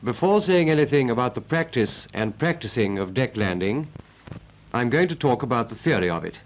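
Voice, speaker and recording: A man's voice: medium pitch, animated, brisk, lightly acted, rough recording. Casting: male actor as British naval instructor, training-film narrator. Clipped, emphatic, very varied intonation, faint vintage soundtrack noise. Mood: brisk, instructive.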